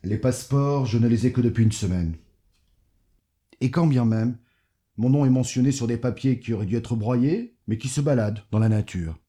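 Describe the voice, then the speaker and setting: A man's voice: medium pitch, normal speed, dark tone, close to an everyday voice. A man playing a smug, condescending, corrupt mayor in an audio drama, patronising and coolly menacing. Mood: smug, persuasive. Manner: patronising, cajoling. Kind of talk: French audio fiction acting.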